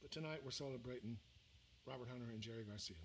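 A man's voice: medium pitch, fast talker, a bit bright, a little hiss or hum, rough recording. An older man from the American South, a musician chatting casually between songs at a small venue and talking into a stand mic, rambling along with lots of fillers and restarts. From Mississippi, raised in Louisiana. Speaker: musician performing a livestream. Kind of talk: casual between-song chat. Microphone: vocal mic on a stand.

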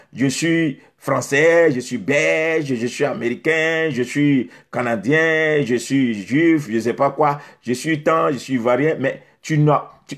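A middle-aged man, preaching a sermon straight to the camera, exhorting with rhetorical questions and emphatic repetition. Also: fairly high pitch. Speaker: pastor. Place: indoors in front of a beach backdrop.

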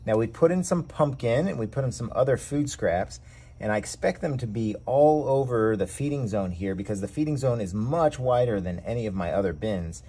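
Male host, husky voice, easygoing how-to delivery on an outdoor porch. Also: medium pitch, normal speed. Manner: relaxed, explains each step as he works. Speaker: worm farming channel host. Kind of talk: casual how-to demonstration. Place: outdoor covered back porch.

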